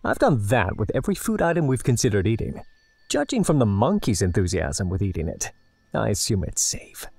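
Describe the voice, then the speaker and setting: Man playing a gravelly, husky, practical explorer. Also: on the low side, big pitch swings, a little fast, a little mellow, no room sound, exaggerated voice. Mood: matter-of-fact. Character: Nikan, calm practical male explorer, reassuring to his captive. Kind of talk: audio drama acting.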